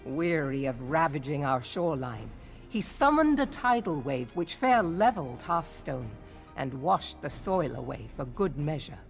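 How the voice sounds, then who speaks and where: A woman's voice: low voice, lively intonation, a little slow, faint music behind. A woman playing a commanding, blunt admiral in a fantasy video game, speaking sternly and emphatically in salty, archaic phrases. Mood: grim, grave. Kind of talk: scripted video game voice acting.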